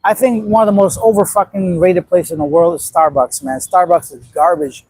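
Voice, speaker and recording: A man's voice: high-pitched, fairly even intonation, moderate pace, a little mellow, breathy edge, low-quality recording. A man in his late thirties from Afghanistan, rambling bluntly on a casual livestream and reacting to viewer comments. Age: 30s. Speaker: livestream host. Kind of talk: casual live-stream chat reading viewer comments.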